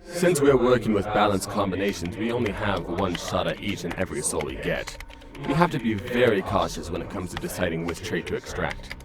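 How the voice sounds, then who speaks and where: A man playing a grave, resolute monster scientist in a comic dub, speaking in formal, measured, clinical phrases. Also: low-pitched, animated. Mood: calm, clinical. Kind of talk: dramatic comic dub acting. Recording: prominent music behind voice.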